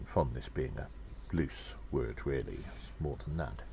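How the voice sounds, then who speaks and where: A man playing a little gnome adventurer in an audio drama, confiding in his friends in a theatrical, halting way. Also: a bass voice, expressive, gravelly, dark tone, slight background noise, exaggerated voice. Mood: fond, wry. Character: little male gnome adventurer, conspiratorial storyteller addressing friends. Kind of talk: scripted audio drama monologue with dialogue.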